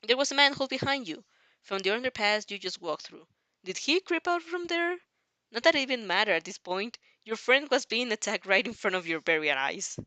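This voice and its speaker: A woman reading a dramatic fan fiction story aloud as narrator, halting now and then over the text. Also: on the high side, animated, pushed and bright, dry recording. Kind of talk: dramatic audio story narration in second person.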